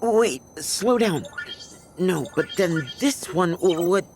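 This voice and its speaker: Brash, very animated young engineer. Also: female voice, lowish voice, slow, soft background music. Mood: confused. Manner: casual, expressive, exclamatory. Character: plucky, brash young female engineer, excitable and casual. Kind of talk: video game cutscene acting.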